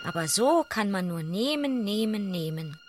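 Blunt female chorus narrator over loud music, an actress. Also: mid-range voice, expressive, normal speed, lightly acted. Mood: insistent. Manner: terse, clipped commentary. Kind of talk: scripted radio drama narration.

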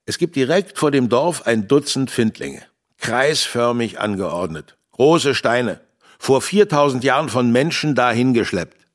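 An actor playing a brooding male villager who tells eerie local lore in a measured, ominous way for a children's radio drama. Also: mid-range voice, expressive, lightly acted. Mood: mysterious.

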